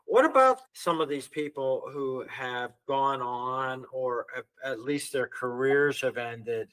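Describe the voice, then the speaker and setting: A man's voice: medium pitch, expressive, takes it slowly, fairly bright tone, poor audio. A male podcast host asking curious, encouraging questions in an interview.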